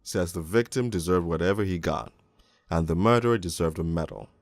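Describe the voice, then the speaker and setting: A man playing a crude, cynical police detective, narrating a crime storybook in the first person in a gravelly, world-weary, deliberate way. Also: low-pitched, animated, no room sound. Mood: wry, sardonic. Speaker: narrator and lead voice actor in an animated storybook.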